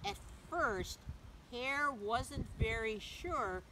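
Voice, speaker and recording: A woman reading a picture book aloud to young children, gently, in simple phrases, pausing to ask the listener questions. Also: on the high side, expressive. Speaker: children's story reader, teacher. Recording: clearly noisy background.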